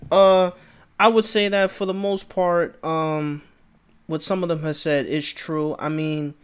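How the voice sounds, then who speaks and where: A man's voice: a little high, steady tone, unhurried, clean tone. A man hosting a reaction video, talking casually and straight to his viewers, often repeating his phrases. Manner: laid-back, repeats phrases, talks to viewers directly. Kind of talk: casual commentary.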